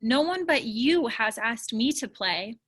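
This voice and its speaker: A woman's voice: fairly high pitch, lively intonation, unhurried, a bit bright. A woman voicing a shy, friendly new schoolboy in a children's storybook, with a childlike lift. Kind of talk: children's storybook read-aloud.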